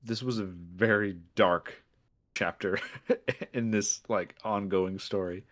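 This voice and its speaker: A man's voice: fairly low pitch, steady tone, takes it slowly. A man co-hosting a podcast, talking casually and reacting to a story. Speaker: podcast co-host. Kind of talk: casual chat.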